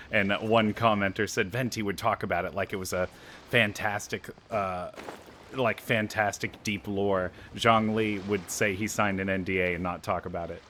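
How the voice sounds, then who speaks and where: A man's voice: sits low, a bit bright, a little hiss or hum. A man giving casual gameplay commentary, chatty and rambling as he thinks aloud. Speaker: let's play streamer.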